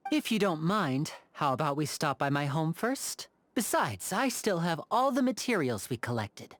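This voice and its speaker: A man voicing a suave, courteous young gentleman in a video game, with a light, youthful voice and polished delivery. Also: fairly high pitch, sing-song, moderate pace, a little hiss or hum. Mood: courteous, warm. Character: suave, courteous young male magician, protective older brother. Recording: music under the voice.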